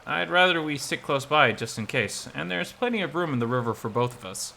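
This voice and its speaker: A man's voice: fairly high pitch, expressive, fairly quick, creaky, sharp tone, slight background noise, exaggerated voice. A man playing a self-assured, teasing male wilderness guide in a visual novel, explaining things in a wry way.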